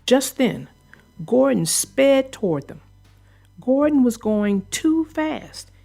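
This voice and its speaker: Animated woman reading a children's story. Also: sits high, slow, gravelly, soft background music. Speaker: children's book narrator. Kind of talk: expressive read-aloud storytelling.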